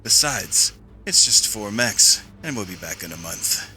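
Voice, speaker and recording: A man's voice: fairly low pitch, lively intonation, measured pace, sharp tone, soft background music, full character voice. An actor playing a confident, insubordinate noble lieutenant in his twenties in a sci-fi radio play, self-assured and formal. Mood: defiant, confident.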